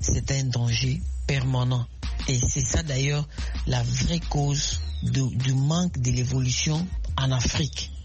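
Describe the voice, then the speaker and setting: A young man from Congo with a Congolese French accent holds forth on a radio call-in, his voice sitting at an ordinary middle pitch. He speaks in an oratorical way, repeating phrases and piling up rhetorical questions. The sound is a radio broadcast with faint music behind him. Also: fairly even intonation, a little slow. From Congo (DRC). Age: young adult. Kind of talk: impassioned opinion on radio call-in.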